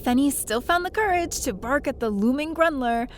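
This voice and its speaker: A woman's voice: high voice, normal speed, a little hiss or hum. A woman playing an eager, naive young adventurer who narrates her own story in an audio drama. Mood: narrating. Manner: animated, chatty, naive. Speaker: lead character and narrator.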